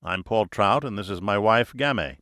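A man reading the part of a casual, capable American scientist and husband, deadpan, in an audiobook. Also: on the low side, normal speed, dry recording. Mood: deadpan, businesslike.

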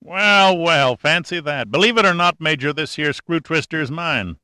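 Man doing a drawling country-boy sergeant, colloquial, excitable, bright voice, dry close sound. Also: fairly high pitch, a little slow, clear, resonant tone, dry recording, voice pushed up. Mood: folksy. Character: folksy country-boy male air force sergeant mechanic.

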